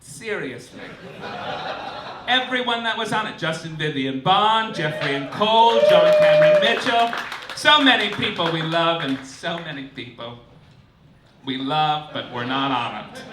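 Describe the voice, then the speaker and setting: In a roomy, noisy live club, a man delivers stand-up comedy in a high voice. He is campy and theatrical, presenting mock-formal announcements with exasperated, sweary asides. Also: big pitch swings, measured pace. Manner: campy, theatrical, swooping emphasis. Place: indoor cabaret club stage. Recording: roomy live stage, distant, clearly noisy.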